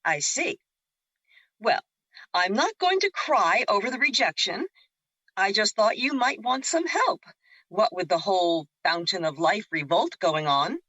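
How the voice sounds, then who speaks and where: A woman's voice: medium pitch, big pitch swings, measured pace, pushed and bright, slightly rough, dry recording, full character voice. A woman acting in an audio drama as a teasing, breezy celestial sister, casual and wry, on a slightly dull recording. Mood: casual, gossipy.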